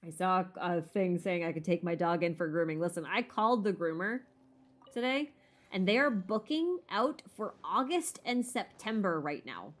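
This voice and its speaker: A woman's voice: fairly low pitch, animated, moderate pace. A woman hosting a casual live Q&A stream while gaming, chatting in a playful, self-deprecating, rambling way with muttered asides.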